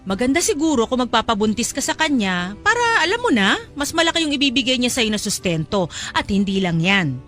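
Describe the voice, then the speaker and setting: A woman's voice: on the high side, big pitch swings, brisk, fairly bright tone, faint music behind. An actress playing a pushy, scheming middle-aged mother in a Tagalog audio drama, coaxing and insistent. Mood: coaxing, sly.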